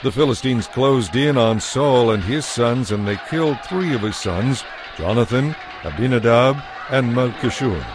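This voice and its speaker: A man narrating a dramatized Bible as scripted storytelling, in a solemn, measured manner. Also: medium pitch, expressive, background noise.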